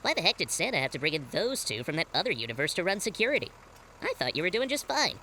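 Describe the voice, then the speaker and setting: An easygoing delivery driver in an audio drama, casual and teasing. His voice is bright and bouncy in pitch, with faint outdoor noise around it. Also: very animated, quick pace, exaggerated voice. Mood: puzzled.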